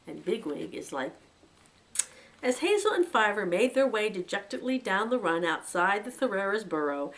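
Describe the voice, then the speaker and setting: Expressive woman reading a novel aloud. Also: mid-range voice. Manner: expressive, animated narration. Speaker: bookshop reader.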